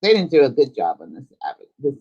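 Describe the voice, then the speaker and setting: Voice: medium pitch, wildly swooping pitch, brisk, a little mellow, husky, highs cut off. A live stream host chatting casually and informally, thinking aloud while giving a tour of a virtual world.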